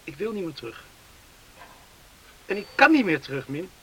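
A man's voice: sits high, sing-song, moderate pace, bright, forceful tone, slightly rough, heavily acted, poor audio. An actor playing a stubborn, defiant young man in a radio play, blunt and dismissive in manner. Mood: subdued, stubborn. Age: young adult.

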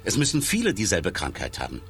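A man's voice: sits low, wildly swooping pitch, fast talker, a bit bright, soft background music, full character voice. An actor playing a sober male doctor in a radio play, explaining things in terse, blunt phrases. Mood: dry, blunt.

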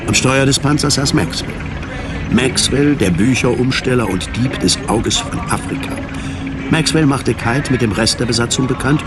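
A man narrating a science fiction radio drama in a scripted, measured storytelling style. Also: mid-range voice, over loud music, lightly acted. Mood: measured, factual.

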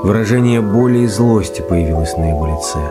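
A male narrator reads a story in a fairly low voice. His delivery is measured and scripted, with loud music running behind him.

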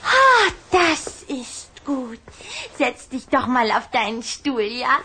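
A woman playing an affectionate, excitable little vampire girl in a children's audio drama, coy and eager in manner. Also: high voice, slow, background noise, full character voice. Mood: smitten, coy.

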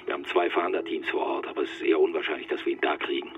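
A man's voice: a bass voice, fairly even intonation, racing. A man playing a businesslike, terse police boss in a radio drama, heard through a phone filter. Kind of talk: naturalistic German radio drama acting. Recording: muffled phone call with music behind.

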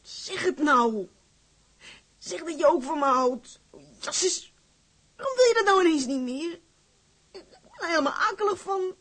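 A woman's voice: very high-pitched, animated, unhurried, pauses often, a bit bright, exaggerated voice. An actress playing a lovestruck, outspoken young woman from a seaside town in a drama, with blunt, insistent, girlishly colloquial speech. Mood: pleading, upset. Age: young adult. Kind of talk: dramatized dialogue acting.

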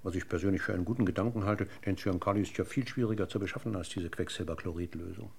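Police inspector, radio crime drama, low gravelly voice, formal, verbose, courteous, probing. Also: male voice, a little mellow, a little hiss or hum. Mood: expository, measured. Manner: formal, circumlocutory, deliberate.

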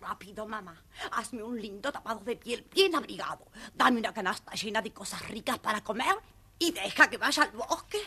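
A woman's voice: medium pitch, expressive, moderate pace, noisy background, natural voice. A woman playing a spoiled, rude, demanding young girl, a haughty and whiny stepsister in a children's audio fairy tale. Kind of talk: scripted children's audio storybook acting.

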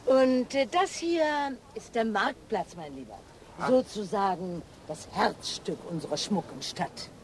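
An actress playing a distracted, lovestruck police inspector in a naturalistic radio drama, with a hesitant, dry delivery. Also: female voice, medium pitch, very animated, a little slow, darkish tone, slight background noise. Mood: fond, relaxed.

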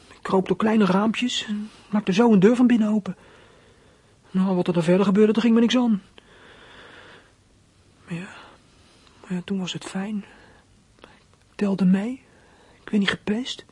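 An actor playing a hurt, defiant thirteen-year-old boy thief in a radio play, confiding in a husky, breathy, colloquial way. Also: medium pitch, steady tone, unhurried, long pauses, mellow, dry recording. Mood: wistful, proud. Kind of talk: radio play acting, confiding first-person narration.

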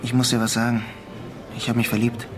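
A man's voice: mid-range voice, completely flat delivery, a little fast, crowd noise, natural voice. An actor playing a bitter, lovelorn young man in a radio crime drama, with a sardonic and brooding manner. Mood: cool, defensive. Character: bitter lovelorn young man working a menial job in Queens. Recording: radio play with loud music underneath. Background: music.

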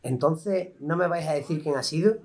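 A man's voice: fairly high pitch, moderate pace, a little mellow, natural voice. An amateur student actor with an Andalusian accent playing a demanding, macho male football coach in a radio play. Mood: stern. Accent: Andalusian Spanish. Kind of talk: amateur radio drama acting.

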